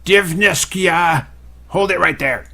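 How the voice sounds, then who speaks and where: A man's voice: high-pitched, slow, vocal fry, bright, forceful tone, pitched above the performer's own voice, full character voice. A man voicing a bossy adult female camp leader who gives orders in a commanding, scolding way.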